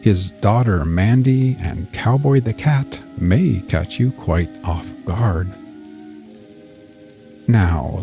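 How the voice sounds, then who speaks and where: A man hosting and narrating scripted crime fiction in a measured, theatrical way, in the manner of old-school mystery shows. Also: mid-range voice, vocal fry, warm tone. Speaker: podcast host and narrator.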